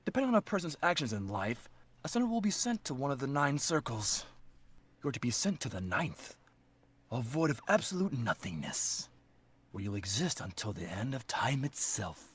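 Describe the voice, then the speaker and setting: A man performs a wrathful ruler of hell in a comedy roleplay. The voice is slightly rough and sits at a middle pitch, swinging theatrically as it hands down pompous judgement on sinners. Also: very animated, normal speed, a bit bright. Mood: grave, condemning. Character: wrathful male lord of hell judging sinners.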